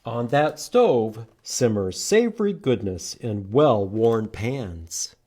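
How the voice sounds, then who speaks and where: A man reading aloud to children at a storytime, expressive and inviting them to join in. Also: medium pitch, takes it slowly. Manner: expressive, animated, asks listeners to join in. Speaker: children's storytime reader at a library. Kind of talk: read-aloud storytime with singing and prompts to children.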